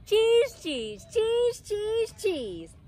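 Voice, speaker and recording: A woman's voice: very high-pitched, sing-song, extremely slow, dark tone, pitched above the performer's own voice, full character voice. A woman voicing a vain, show-off little schoolgirl in a storybook, gushing and singsong. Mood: loud, singsong. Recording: outdoor background noise.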